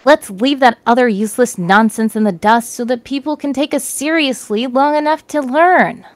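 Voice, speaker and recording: A woman's voice: high voice, moderate pace, no echo, voice pushed up, natural voice. A woman voicing a fervent young female student activist in a read-aloud story.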